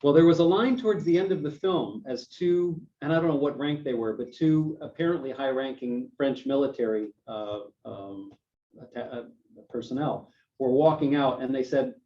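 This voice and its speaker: A man hosting a film discussion group in a meeting room, affable and quick to agree with speakers. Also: mid-range voice, mellow. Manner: affable, quick to agree, says "right" and "yes" often. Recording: distant, dull lo-fi room recording.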